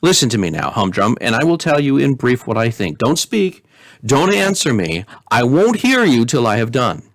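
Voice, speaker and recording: A man playing an excitable, flighty old-fashioned philosopher. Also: mid-range voice, moderate pace, dry recording, clean studio sound. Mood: insistent, eager. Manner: emphatic, repetitive, declamatory. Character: quick, flighty, excitable male spiritualist philosopher, lecturing urgently. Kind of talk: storybook character reading.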